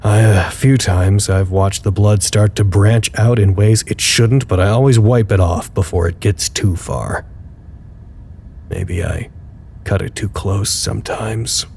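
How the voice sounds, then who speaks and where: A man's voice: lowish voice, animated, a little slow, creaky, a little mellow, slight background noise. A man playing a weary, dutiful researcher who records formal, articulate status logs with dry wit in an audio drama. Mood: weary, grave. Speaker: narrator. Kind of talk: audio drama log narration.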